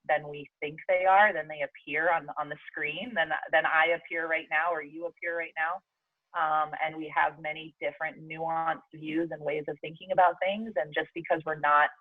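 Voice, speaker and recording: A woman's voice: low-pitched, no echo. A woman from Jordan, a guest in an interview, giving reflective answers hesitantly, with many fillers and restarts. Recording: tinny phone line, dry.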